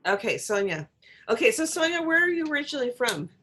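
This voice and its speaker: A woman's voice: sits high, very animated, fast talker, fairly bright tone. A woman hosting an informal interview, asking questions in a casual conversational style. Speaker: interviewer, host.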